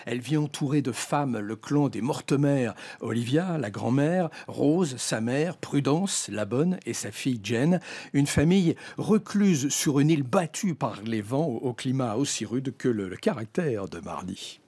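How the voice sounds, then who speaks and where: A middle-aged man delivers a scripted radio book review in a measured, articulate storytelling way, at a studio desk microphone. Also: medium pitch, expressive, dark tone, slightly rough. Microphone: broadcast desk microphone.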